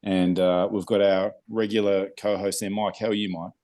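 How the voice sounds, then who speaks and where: A man's voice: on the low side, little pitch movement, normal speed, a little mellow. A man hosting a podcast, friendly and jokey in casual chat. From Australia. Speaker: podcast host.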